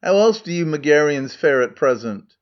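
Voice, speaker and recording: A shrewd farmer trades dry, sarcastic verse, as a man reads him. The voice is a little high for a man and stays plain. The recording is dull and muffled. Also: lively intonation, moderate pace, close to an everyday voice. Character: shrewd, dry-witted male Athenian farmer.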